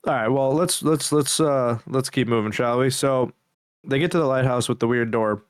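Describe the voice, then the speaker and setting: Male podcast co-host, smooth mid-pitched voice, loose halting horror recap, close dry sound. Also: no echo. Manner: halting, casual, swearing. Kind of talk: casual recap of horror videos.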